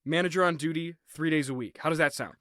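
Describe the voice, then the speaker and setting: A man's voice: fairly high pitch, fast talker, a bit bright, no echo, clean studio sound, lightly acted. A man playing a flustered, awkward restaurant boss in a comedy sketch, stammering, hedging and repeating himself. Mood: earnest, rambling. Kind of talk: improvised comedy sketch acting.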